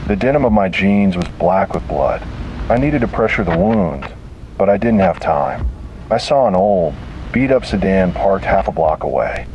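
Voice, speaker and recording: A man reads a scripted first-person horror story dramatically, in short deliberate phrases. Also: low-pitched, sing-song, darkish tone, highs cut off, slight background noise.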